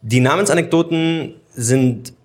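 A man's voice: fairly high pitch, measured pace. A young man born in Germany answering interview questions on stage in an easygoing, candid way. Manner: easygoing, laughs often, candid. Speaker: guest.

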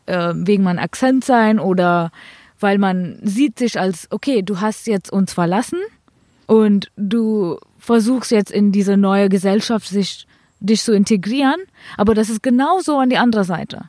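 A young woman with an Indian accent speaks in hesitant, halting German, pausing on fillers as she answers. Her voice sits at a middle pitch. It is recorded close and dry, with no room sound. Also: warm tone, no echo. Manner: hesitant, simple non-native German with fillers. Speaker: cricket player, guest. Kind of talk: casual interview answers.

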